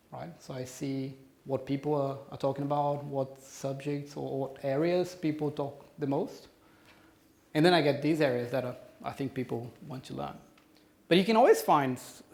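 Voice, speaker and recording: A man's voice: mid-range voice, vocal fry, darkish tone. Brazilian-accented man fielding audience questions. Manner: hesitant, many restarts and ums. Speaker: conference speaker. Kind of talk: Q&A after a conference talk. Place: conference room.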